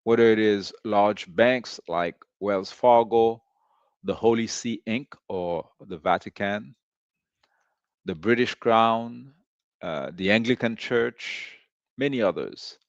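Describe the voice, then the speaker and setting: A man from Haiti hosting a commentary monologue, speaking deliberately with frequent pauses and fillers. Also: lowish voice, no echo, muffled recording. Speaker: host of a commentary show. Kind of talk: slow reflective commentary monologue.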